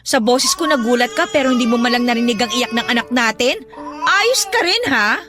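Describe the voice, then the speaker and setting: A voice actress playing an exhausted young mother who scolds her husband in a tearful, shrill way. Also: animated, a little fast, lightly acted. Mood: scolding. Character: exhausted young mother, sharp-tongued and resentful. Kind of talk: Tagalog radio drama acting. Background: music.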